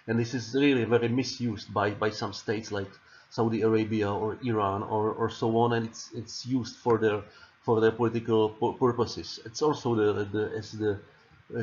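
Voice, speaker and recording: A man's voice: fairly low pitch, a little hiss or hum. A man from the Czech Republic with a Czech accent, a non-native speaker giving a recorded lecture over slides, stumbling over repeated words and fillers. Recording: dull lo-fi audio.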